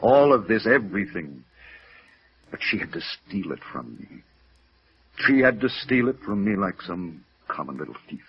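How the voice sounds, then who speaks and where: A man playing a proud, lovelorn older gentleman in a 1950s radio drama, formal and defensive, with bitterness underneath. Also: deep voice, sing-song, moderate pace, slightly rough, exaggerated voice. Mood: bitter, wounded. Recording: muffled vintage radio recording.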